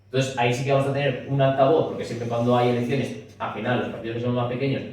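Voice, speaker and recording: A man speaking at a political meeting, pushing his points rapidly and looping back with restatements, recorded in a meeting room. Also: mid-range voice, flat delivery, a little mellow. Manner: rapid, talks in restarts with frequent "es decir". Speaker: speaker at a party talk. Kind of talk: public talk and Q&A. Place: meeting room at a party office. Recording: roomy echo, distant mic, faint hiss.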